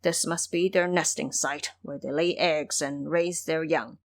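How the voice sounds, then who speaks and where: A woman's voice: low-pitched, steady tone, unhurried. A woman voicing a lazy, self-pitying pig-man fool in an audio drama, grumbling and exclaiming indignantly. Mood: sulky, annoyed. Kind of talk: audio drama character acting.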